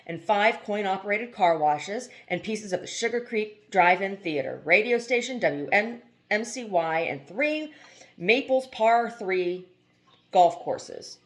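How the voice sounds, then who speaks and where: A woman reading a novel aloud as scripted narration, now and then stumbling over a word. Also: mid-range voice. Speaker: amateur audiobook reader.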